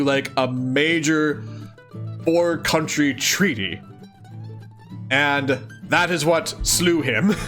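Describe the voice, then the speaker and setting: A man hosting a let's-read, reading aloud expressively with casual, giggly commentary. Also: on the high side. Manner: giggly, expressive, dramatic reading mixed with chatty asides. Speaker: host of a live let's-read of a webcomic.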